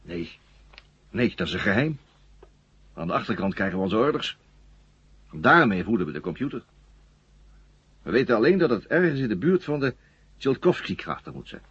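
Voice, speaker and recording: A low, very raspy male astronaut, a hypnotised traitor in an old radio drama. He speaks haltingly and earnestly with swinging intonation. The recording is dry and dull. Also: very animated, a bit bright, no room sound, exaggerated voice. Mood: guarded. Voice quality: husky, raspy. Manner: halting, intense, repeats words. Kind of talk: 1970 Dutch radio play acting.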